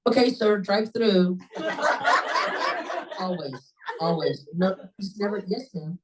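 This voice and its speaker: A woman with a low voice joking casually with fellow club members. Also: takes it slowly, distant mic, crowd noise, rough recording. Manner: joking, animated. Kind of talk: casual chat.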